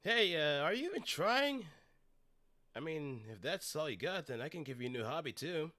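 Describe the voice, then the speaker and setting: A man's voice: on the high side, sing-song, normal speed, pushed and bright, slight background noise, voice pushed up. A man voicing a cocky, taunting mecha pilot from a robot war game in a mocking manner. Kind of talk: game battle-line acting.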